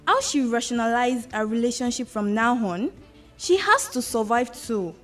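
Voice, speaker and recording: A woman's voice: fairly high pitch, lively intonation, moderate pace, a bit bright, faint music behind. A woman playing a commanding but compassionate madam in a radio drama, speaking emphatically with a Nigerian accent. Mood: firm. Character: commanding, compassionate well-off madam, quick to take charge.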